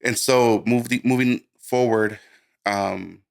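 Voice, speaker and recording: A man from Guatemala who grew up in the United States, giving a casual interview as a music producer, telling his story informally and haltingly. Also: medium pitch, steady tone, creaky. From born in Guatemala, raised in the United States. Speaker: music producer, podcast guest.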